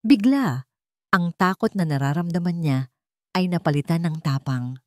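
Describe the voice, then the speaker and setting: A woman's voice: on the low side, expressive, moderate pace, warm tone, dry recording, studio quality. A woman with a Filipino accent reading a dramatic scripted story as its narrator.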